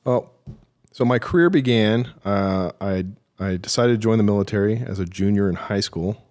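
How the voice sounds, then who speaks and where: Middle-aged man, low voice, dry self-mocking humor, halting storytelling. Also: darkish tone. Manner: dry self-deprecating humor, frequent filler hesitations. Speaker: guest, military veteran. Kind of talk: relaxed interview storytelling.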